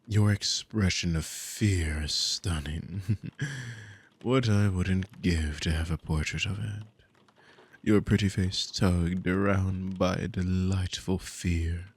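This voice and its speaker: Man acting a deep, husky, possessive demon. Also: steady tone, a little mellow, dry recording, full character voice. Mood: amused, teasing. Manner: slow, teasing, theatrical, formal wording. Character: dominant, possessive male demon, mockingly amused and condescending. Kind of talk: ASMR audio roleplay spoken to the listener.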